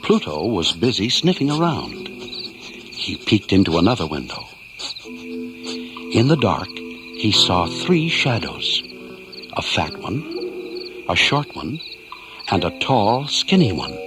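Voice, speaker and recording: A man's voice: lowish voice, sing-song, takes it slowly, pauses often. A man giving dramatic scripted narration of a children's story over music.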